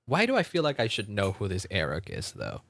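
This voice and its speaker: A man's voice: fairly low pitch, wildly swooping pitch, a little fast, fairly bright tone, no room sound. A man doing casual, chatty livestream commentary on a video game, reacting aloud and reading bits of text as he goes. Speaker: livestream host.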